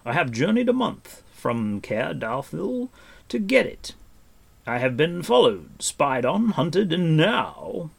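A man's voice: mid-range voice, big pitch swings, unhurried, gravelly, voice pushed up, exaggerated voice. A man voicing a weathered, commanding warrior prince in a storybook, stern yet kindly, speaking with emphatic authority. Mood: grave, intense.

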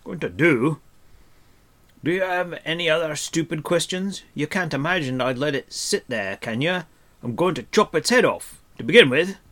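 A man reading a storybook as a gruff, angry dwarf who is snappish, sarcastic and scornful. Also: a little high, lively intonation, normal speed, a bit bright, voice pushed up. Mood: scornful, indignant.

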